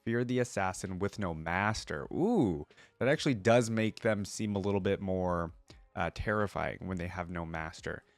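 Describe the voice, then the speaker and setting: A man's voice: low-pitched, moderate pace, no echo, faint music behind. A man hosting a reaction video, mixing casual commentary with reading aloud and thinking things through as he goes.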